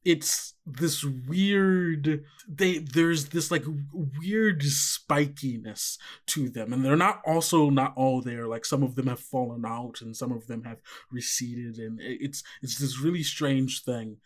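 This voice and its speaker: A man's voice: mid-range voice, takes it slowly. A man working as game master, improvising descriptive narration in a casual way peppered with "like". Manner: descriptive, frequently hedging with "like".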